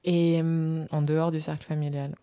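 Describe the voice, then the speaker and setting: A woman hosting a podcast who reads the narration and asks interview questions in a measured, calm way. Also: low-pitched, smooth.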